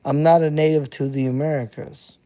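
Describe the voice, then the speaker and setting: Man, 30s, fairly high voice, dark and mellow, unhurried, blunt, cusses a lot. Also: steady tone. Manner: blunt and profane, repeats himself. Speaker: underground hip-hop artist streaming to chat. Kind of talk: casual live-stream monologue.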